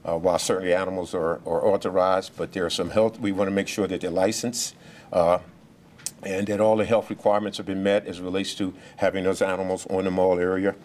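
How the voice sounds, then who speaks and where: A police official giving remarks at a press briefing, speaking loudly and haltingly, with many fillers and restarts. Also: male voice, sits low, level tone, slight background noise. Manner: speaks loudly without a mic.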